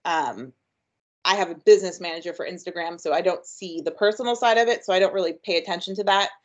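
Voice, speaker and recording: A woman's voice: on the low side, fast, dull audio. Upbeat chatty woman presenter. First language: English. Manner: chatty and upbeat, frequent ums. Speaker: workshop presenter. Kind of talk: webinar presentation.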